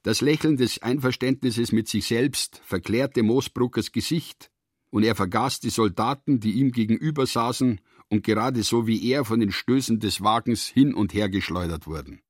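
A man narrating a literary radio drama in measured, deliberate phrases. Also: mid-range voice, dry recording, studio quality.

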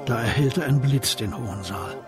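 A man narrating a radio drama as a scripted literary story, in a composed and deliberate manner. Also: medium pitch, over music, natural voice.

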